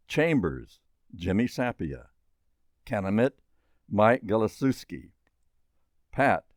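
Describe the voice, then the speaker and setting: Lively low-voiced male announcer. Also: dry recording, studio quality. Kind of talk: scripted credits announcement.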